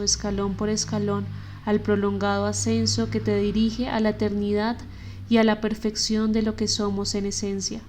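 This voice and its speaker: Woman, podcast audiobook narrator, flat even delivery, mid pitch. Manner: steady, unhurried reading. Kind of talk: audiobook reading.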